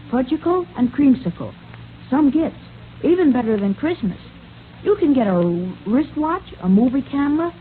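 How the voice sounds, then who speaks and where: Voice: medium pitch, natural voice. A performer playing an eager, wholesome American boy who gushes through a scripted 1930s radio advertisement. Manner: gushing, gee-whiz enthusiasm. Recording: tinny, hissy 1930s radio recording.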